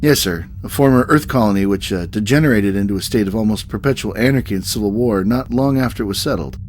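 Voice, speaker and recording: An actor playing a hesitant, dryly polite starship captain in a science fiction audio drama, stammering slightly, with noise behind him. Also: male voice, fairly low pitch, fast talker, darkish tone, natural voice. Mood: deferential, puzzled.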